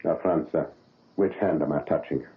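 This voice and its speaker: An actor playing a proud, paternal surgeon in a 1970s radio drama, coaxing and insistent. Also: male voice, extremely low pitch, normal speed. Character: proud, paternal German surgeon, older man. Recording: narrow phone-like old radio broadcast.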